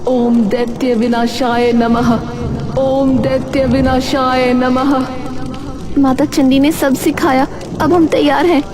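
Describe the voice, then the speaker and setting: A woman's voice: sits high, little pitch movement, slow, background noise. A woman voicing brave young village girls in a cartoon story, earnest and eager. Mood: determined. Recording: music playing underneath.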